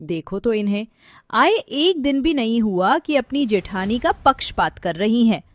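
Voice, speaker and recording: Scornful middle-aged mother-in-law , female performer, high-pitched, nagging and taunting, for a melodramatic story. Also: lively intonation, unhurried. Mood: disgruntled. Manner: sharp-tongued, taunting. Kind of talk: melodramatic Hindi moral story acting.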